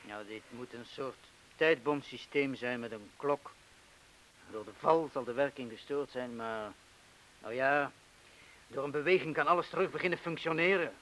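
A male actor playing a cautious, steady criminal accomplice in a radio drama, speaking in a measured, laconic, firm way. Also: medium pitch, close to an everyday voice, poor audio. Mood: concentrated, grave. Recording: hissy old radio play recording.

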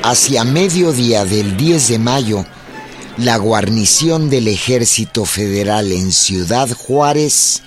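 A man with a Mexican accent narrating a historical radio drama in a solemn, deliberate manner. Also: mid-range voice, expressive, music in the background.

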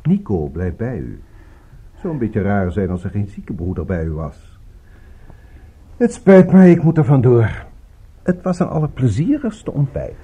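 A dark-voiced, swooping, courteous villain. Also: male voice, lowish voice, wildly swooping pitch, a little slow, pauses often, highs cut off, exaggerated voice. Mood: coolly polite. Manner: polite, formal, smoothly regretful. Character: courteous, coolly polite villain, brother of a killer doctor. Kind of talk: radio drama acting.

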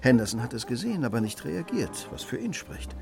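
An actor playing a cool, commanding Victorian gentleman detective in a radio crime drama, speaking in a crisp, self-assured way. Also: mid-range voice, sing-song, brisk, faint music behind. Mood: calm, calculating.

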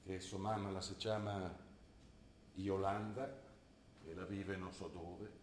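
A man's voice: sits low, level tone, slow pace, roomy sound, slight background noise. An actor playing a plain-spoken, worried provincial father in a stage drama, with a Trentino accent and hesitant, muttering delivery. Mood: wary, protective. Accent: Trentino, northern Italian. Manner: hesitant, grumbling, with short muttered replies. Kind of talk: naturalistic dialect stage acting.

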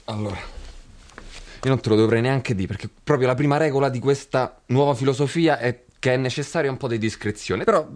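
A man's voice: medium pitch, brisk, lightly acted. An actor playing a laid-back young man who preaches a selfish guru philosophy, speaking slangy Roman Italian with sudden emphatic bursts. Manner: slangy, emphatic, swings from calm to shouting. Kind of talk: scripted comedy sketch.

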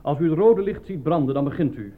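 A man's voice: fairly high pitch, expressive, quick pace, lightly acted. An actor playing an impatient, curt male casting official who barks clipped questions in a radio play. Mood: brisk, officious. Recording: narrow, phone-like old recording with noise.

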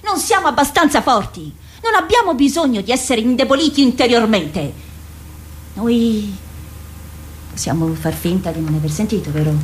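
A woman's voice: on the high side, animated, normal speed, slight background noise, natural voice. A woman playing a scornful, anxious diplomat in a radio drama, theatrical, dismissive and insistent. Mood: evasive, urgent. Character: scornful, anxious female diplomat, evasive and persuasive.

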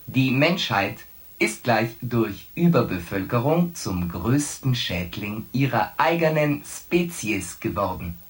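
An actor playing a coolly logical ship's artificial intelligence in a science fiction radio play, with stilted, emotionless phrasing. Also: male voice, medium pitch, big pitch swings, slow pace. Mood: coolly analytical.

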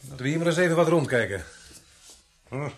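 An actor playing a sardonic, bossy police sergeant in a radio play, brisk and commanding. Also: male voice, on the high side, animated. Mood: wry. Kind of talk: radio drama acting.

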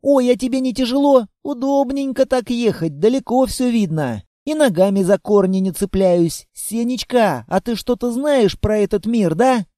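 A man voicing a chatty little girl, a child of darkness, who pleads and chatters without pause. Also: very high voice, dark tone, no echo, clean studio sound, voice pushed up.

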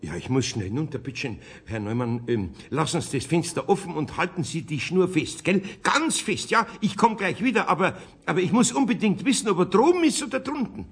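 An actor playing a kindly, worried older master carpenter with a Bavarian accent and husky voice, flustered and colloquial, in a 1970s children's radio play. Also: male voice, a little high, very animated, brisk, few pauses, heavily acted.

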